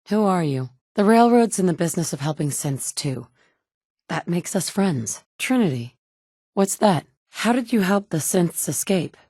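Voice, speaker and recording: A woman voicing the female player character of a game, a blunt adult wasteland survivor with a brisk, dry, often curt way of talking. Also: on the low side, mellow, no room sound. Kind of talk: game dialogue lines.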